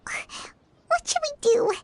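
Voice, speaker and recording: A woman voicing a small, chatty, childlike fairy companion in a video game, expressive and anxious. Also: extremely slow, whispered. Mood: worried. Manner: refers to self in third person, expressive and nervous. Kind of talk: video game character dialogue.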